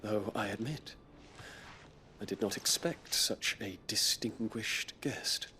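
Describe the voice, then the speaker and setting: An actor playing a proud, guarded young prince with a commanding manner in a dramatic video game scene. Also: male voice, on the low side, takes it slowly, sharp tone, slight background noise, full character voice. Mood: guarded, formal. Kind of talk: dramatic game cutscene acting.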